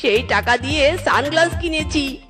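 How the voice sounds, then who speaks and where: A woman voicing a hapless, excitable young male fox in a cartoon, scheming and given to melodramatic wails and exclamations. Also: high voice, normal speed, faint music behind. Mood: gleeful. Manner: melodramatic wailing, catchphrase exclamations. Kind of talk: Bengali cartoon dubbing.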